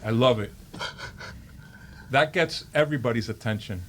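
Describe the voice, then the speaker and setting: A man's voice: medium pitch, normal speed, a bit bright, slight background noise. A male host of a business interview who talks in a friendly way and jokes with his guests. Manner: friendly, joking.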